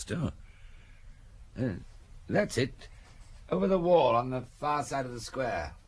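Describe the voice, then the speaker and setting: An actor playing a deferential police inspector in an audio drama, reporting to his chief in colloquial, matter-of-fact short phrases, with a husky voice. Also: on the low side. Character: deferential, plain-spoken male police inspector, the chief's assistant.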